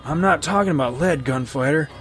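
A man's voice: on the high side, fairly quick, fairly bright tone, faint music behind, pitched above the performer's own voice, close to an everyday voice. A man voicing a dying, mocking outlaw gang leader with a gloating backwoods drawl.

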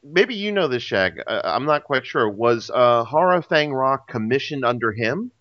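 A man's voice: medium pitch, very animated, moderate pace, no echo. A man in a casual podcast panel, asking questions and adding his views. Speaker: podcast guest. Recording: dull lo-fi dry audio.

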